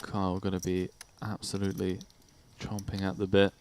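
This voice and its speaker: A man with a low voice calls the action on a live poker broadcast, brisk and analytical, with a faint hiss behind him. He talks through hands and stack sizes in a chatty flow. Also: monotone, darkish tone. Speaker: poker commentator. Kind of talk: live play-by-play commentary.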